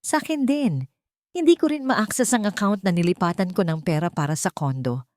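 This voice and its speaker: Woman voicing a scheming, anxious man, mellow tone. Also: mid-range voice, animated, moderate pace, lightly acted. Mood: irritated. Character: scheming, anxious man hiding his guilt. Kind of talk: dramatic storybook dialogue.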